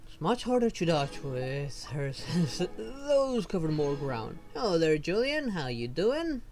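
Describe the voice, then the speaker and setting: Lively streamer thinking aloud over game music. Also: medium pitch, a little slow. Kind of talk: casual live gameplay commentary.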